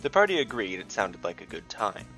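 A man's voice: on the low side, sing-song, brisk, a bit bright, faint music behind, poor audio. A man narrating a scripted fantasy tale as dungeon master, with theatrical, descriptive storytelling.

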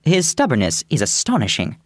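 A stiff, self-important gentleman, put on by a woman reader, speaks in a deep, lowered voice with a grave, pompous air. His pitch swings widely as he lectures. The reading is dry and close, with faint music underneath. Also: huge pitch swings, brisk, dry recording, exaggerated voice.

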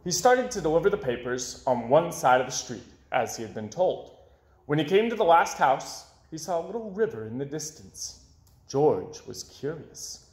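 A young man reading a children's story aloud in an expressive way, with dramatic pauses. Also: fairly high pitch. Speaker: storybook reader. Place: small indoor room with bookshelves. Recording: roomy, echoey indoor sound.

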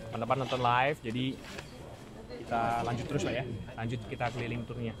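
A man's voice: mid-range voice, flat delivery, quick pace, a crowd in the background. A man chatting casually and in an upbeat, slangy way as a travel vlogger, speaking to viewers like pals into a phone held at arm's length. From Indonesia. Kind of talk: casual vlog chat to viewers. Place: museum, stadium stands and changing room. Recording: loud music over phone vlog audio. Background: loud music.

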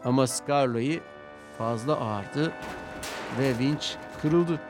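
A man's voice: medium pitch, takes it slowly. Male children's story narrator over music.

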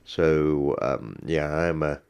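A man's voice: rumbling low, slow, vocal fry, a little mellow, slight background noise. A man with a cold and a stuffy nose, rambling through casual gameplay commentary with many ums and restarts. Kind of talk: casual let's-play commentary.

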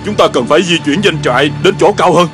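A man acts a dutiful subordinate officer in a cartoon war epic. He sounds earnest and urgent in a high male voice, over loud dramatic music. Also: quick pace, natural voice. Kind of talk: Vietnamese TV dub of an animated historical war epic.

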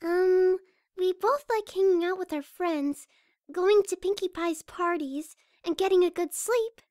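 A woman voicing a shy, gentle young cartoon pegasus pony with a hesitant, stammering delivery. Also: very high-pitched, a little slow, dry recording, clean studio sound. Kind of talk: amateur cartoon fan audio drama.

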